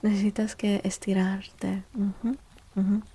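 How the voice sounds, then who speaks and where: A soft-spoken woman live streaming ASMR chat on a close microphone, gently greeting viewers, often repeating phrases and slipping into breathy whispers. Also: mid-range voice, level tone, normal speed.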